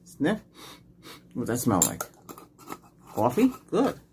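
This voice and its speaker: A middle-aged man plays a doctor in an ASMR exam roleplay. His medium-pitched voice moves through short, reassuring questions and simple instructions. The recording is clearly noisy, with clicking sounds. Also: huge pitch swings, takes it slowly. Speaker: ASMR creator playing an ER doctor. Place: small indoor room set up as a clinic.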